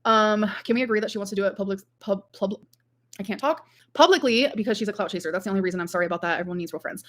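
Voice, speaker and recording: A woman's voice: medium pitch, rapid-fire, fairly bright tone. A woman chatting casually on a livestream in a rambling way, peppering her talk with "like". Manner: rambling, frequent "like". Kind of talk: casual livestream chat, reading out viewer comments.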